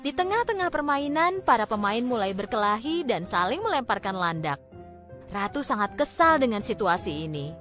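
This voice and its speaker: A woman with a fairly high voice tells a children's fairy tale. Her phrasing is deliberate and expressive, with lively rises and falls, and music plays underneath. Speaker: storyteller. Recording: background music.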